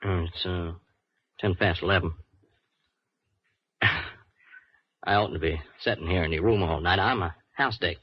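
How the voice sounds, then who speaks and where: A very deep, husky hotel detective. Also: male voice, takes it slowly, pauses often, full character voice. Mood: weary, reluctant. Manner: hesitant, slangy, grumbling. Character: weary, reluctant male hotel house detective. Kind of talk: 1950s radio drama acting. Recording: muffled old radio recording.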